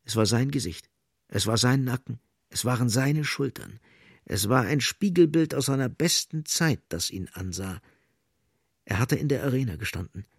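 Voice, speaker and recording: Male narrator, literary radio play, creaky, husky, dry studio sound. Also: mid-range voice, expressive, normal speed, dry recording.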